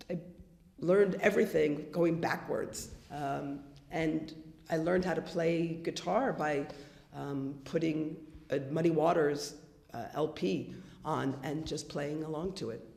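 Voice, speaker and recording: Hoarse american lady musician, kinda gravelly, chatty, echoey room. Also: deep voice, mellow. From United States. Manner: chatty storyteller, many fillers and restarts. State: hoarse, losing her voice. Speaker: band member. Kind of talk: casual interview chat.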